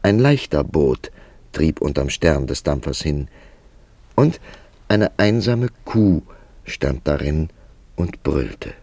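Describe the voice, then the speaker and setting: An actor playing an inquisitive literary narrator in a German radio play, speaking in a measured storytelling manner. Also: male voice, very deep voice, very animated, gravelly, a little mellow, dry recording, full character voice. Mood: absorbed narration. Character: male literary narrator, inquisitive confidant of a young clerk.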